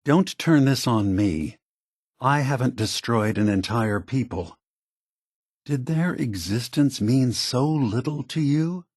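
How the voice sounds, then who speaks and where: Tired old synth leader from a video game, raspy and slow, solemn, close dry sound. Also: male voice, medium pitch, lively intonation, darkish tone, dry recording. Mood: bitter anger. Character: aging synth leader, weary, grave, morally burdened. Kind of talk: scripted video game voice acting.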